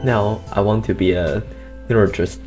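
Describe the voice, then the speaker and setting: A teenage senior high student speaking learner English, answering in a hesitant, giggly way and often repeating words. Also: fairly even intonation, normal speed, darkish tone, faint music behind. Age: teens. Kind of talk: casual interview in learner English.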